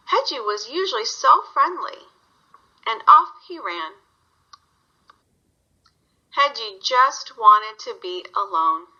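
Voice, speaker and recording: A woman's voice: a little high, bright, forceful tone, highs cut off. A woman reading a story aloud to young children, guiding them with simple questions.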